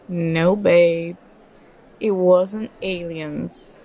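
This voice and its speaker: Woman acting husky, flustered nerdy girlfriend. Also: fairly low pitch, steady tone, warm tone, exaggerated voice, low-quality recording. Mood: explaining, nerdy. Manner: bashful, halting, playfully protesting. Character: flustered, nerdy, affectionate young girlfriend on a date. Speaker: ASMR roleplay performer. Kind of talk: ASMR girlfriend roleplay.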